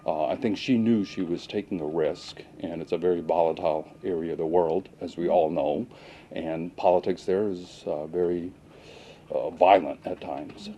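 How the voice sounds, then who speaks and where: Male police lieutenant as interview guest: very low, soft-edged mellow voice, cautious delivery, faint noise behind. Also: steady tone, normal speed, lo-fi sound. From Pakistan, moved to the US at age seven. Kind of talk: unscripted interview answers.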